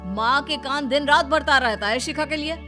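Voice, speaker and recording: A woman's voice: high-pitched, moderate pace, over music, voice pushed up, lightly acted. A woman voicing a suspicious, accusing husband and elder brother in a cartoon story, brusque in manner.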